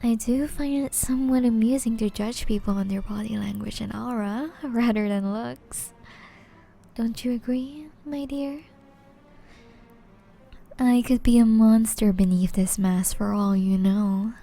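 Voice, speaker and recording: A woman playing a flirty, elegant, ageless female vampire in an intimate ASMR roleplay, soft and often whispery, speaking in a refined, formal, teasing way. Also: a little high, unhurried, vocal fry. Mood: refined, amused.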